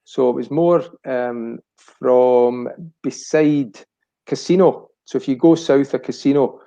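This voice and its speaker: A man's voice: mid-range voice, slow pace, vocal fry, dark tone, lo-fi sound. A Scottish man of about sixty reminiscing casually as a chat show guest, rambling and searching for words. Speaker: chat show guest, family business director.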